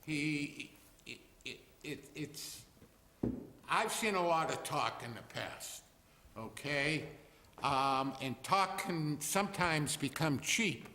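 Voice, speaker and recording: A man who is a neighbor speaking at a town zoning hearing, talking slowly and haltingly with many fillers, in a loud voice away from the microphone. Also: fairly high pitch, animated, a little hiss or hum. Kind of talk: public comment at town meeting. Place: town hall meeting room. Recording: reverberant hall, speaker off mic.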